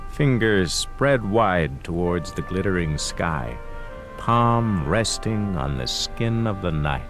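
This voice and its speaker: A man narrating a radio drama as a solemn, poetic storyteller with a lyrical, deliberate delivery. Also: low voice, lively intonation, fairly bright tone, soft background music, exaggerated voice. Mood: somber, hushed.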